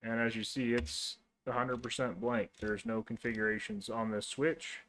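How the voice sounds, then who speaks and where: A man's voice: medium pitch, moderate pace. Laid-back man narrating a tech tutorial. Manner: relaxed, many ums and restarts, narrates while typing. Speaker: host of a networking tutorial channel. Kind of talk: casual screen-recorded tech tutorial.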